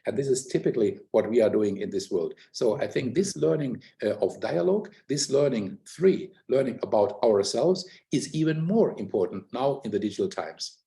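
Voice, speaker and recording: An older man speaking in a thoughtful, explanatory way, pausing often with fillers in a conversation. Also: mid-range voice, lively intonation, vocal fry, dark tone. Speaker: academic guest in a conversation. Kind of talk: reflective conversational talk.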